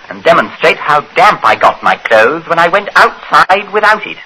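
An actor playing a wronged captain in an old-time radio drama, dry, precise and sardonic. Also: male voice, a little high, very animated, moderate pace, bright, forceful tone, breathy edge, exaggerated voice, low-quality recording. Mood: dryly defiant. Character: wry, wronged British captain calmly arguing his innocence. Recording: hissy vintage radio recording.

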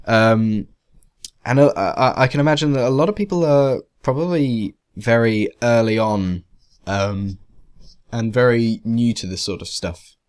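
A man's voice: mid-range voice, measured pace, a little mellow, smooth. Rambling young-sounding male tutorial presenter. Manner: rambling, hesitant, self-deprecating. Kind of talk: casual software tutorial narration. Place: indoors, screen-recording voice-over.

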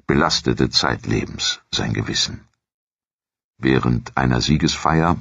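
Very deep, gravelly male history narrator. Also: fairly bright tone, no room sound, lo-fi sound. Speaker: documentary narrator.

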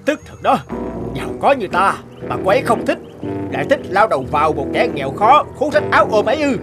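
A man's voice: high voice, sing-song, quick pace. A man voicing a jealous, scheming rich young villager in a folk tale cartoon. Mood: bitter, scheming. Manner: gloating, scheming, sneering laughs. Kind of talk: animated folk tale voice acting. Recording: music bed behind the voice.

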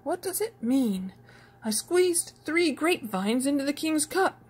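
Woman playing storybook prisoner, puzzled, wondering, emphatic, lifted pitch. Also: sing-song, unhurried. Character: troubled male prisoners recounting puzzling dreams.

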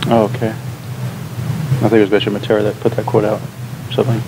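A man in his thirties, a pastor, leading a relaxed classroom discussion with lots of fillers, recorded in a church classroom by a room camera. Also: lowish voice, brisk, very noisy. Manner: relaxed, chatty, frequent fillers and laughs. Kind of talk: casual teaching discussion.

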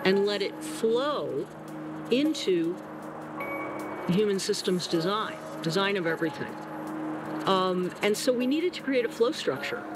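A woman in her sixties, interviewed outdoors in a forest, explaining ideas in a thoughtful, measured way with shifting pace. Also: medium pitch, lively intonation. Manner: thoughtful, explanatory, varied pacing. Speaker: co-founder of a nature-inspired design institute. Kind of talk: documentary interview. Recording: interview under music bed. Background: soft music.

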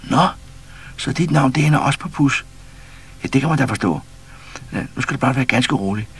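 A man's voice: mid-range voice, lively intonation, a bit bright, slightly rough, voice pushed up, heavily acted. A man voicing a frightened gazelle who pleads in a raised, anxious voice in a storybook reading. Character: frightened gazelle carried in a box.

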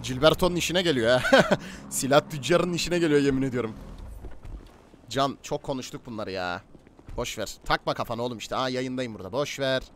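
A man streaming a roleplay game in quick, casual chat, switching between teammates and viewer greetings. His pitch sits in the middle, and clear game and car noise runs behind him. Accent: Turkish, from Turkey. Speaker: livestreamer greeting viewers, playing a robber in roleplay.

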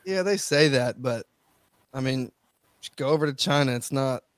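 A man's voice: mid-range voice, normal speed, no echo. Slangy man, dry close mic. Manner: self-deprecating, loose and slangy. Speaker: podcast co-host. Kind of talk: casual video call chat.

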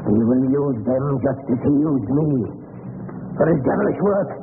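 A man acting a creaky, desperate captive. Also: mid-range voice, over music, lightly acted. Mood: weary, frightened. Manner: pleading, broken, halting. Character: desperate, frightened captive cousin with a horrible borrowed voice. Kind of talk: 1930s radio drama acting. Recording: muffled noisy 1930s radio recording.

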